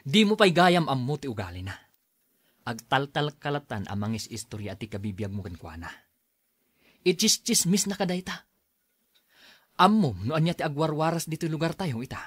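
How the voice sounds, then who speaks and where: A man plays an exasperated husband in an Ilocano radio drama, defensive and dismissive. His voice is husky and swings widely in pitch, with an Ilocano Filipino accent, recorded dry and close. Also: sing-song, moderate pace, pauses often, a bit bright, no room sound, heavily acted. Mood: scornful, angry. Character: irritable, defensive husband accused of cheating.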